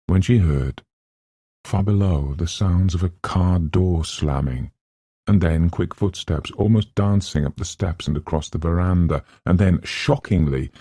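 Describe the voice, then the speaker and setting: A male audiobook narrator reads literary prose. His voice is low and dark with a husky grain. The recording is dry, with no sense of a room. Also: no room sound.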